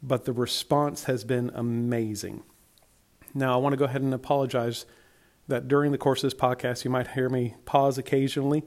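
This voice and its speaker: A man's voice: medium pitch, steady tone, brisk, a little mellow. A man from Alabama with a Southern accent, chatting through a solo podcast in a friendly, rambling way, his voice worn from a tiring week. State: tired, voice worn. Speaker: podcast host.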